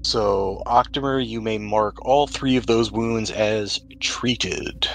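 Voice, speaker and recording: A man acting as game master, narrating and explaining rules in a measured, explanatory way. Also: on the low side, fairly bright tone, a little hiss or hum.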